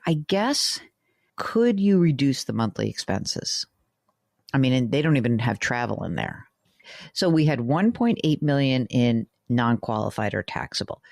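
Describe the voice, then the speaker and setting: A woman hosting a radio money show. She reads listener emails aloud and answers them frankly and chattily, working through the numbers out loud and throwing in joking asides. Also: very deep voice, no room sound, clean studio sound. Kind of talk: conversational radio call-in advice.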